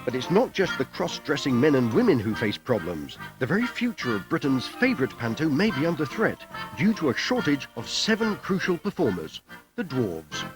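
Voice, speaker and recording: Music plays under a British man narrating a documentary from a script. His mid-pitched voice is lively and varied, with a light-hearted touch. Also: moderate pace. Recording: music under voice, VHS tape.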